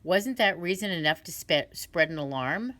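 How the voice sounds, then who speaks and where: Big defensive crow voiced by a woman, loud, protesting, storybook. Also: fairly low pitch, expressive, normal speed.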